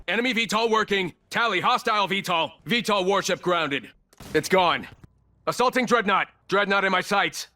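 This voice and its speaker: An actor playing a terse, cold special forces operator in a military shooter game, clipped and businesslike. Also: male voice, high voice, pushed and bright. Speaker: video game operator character.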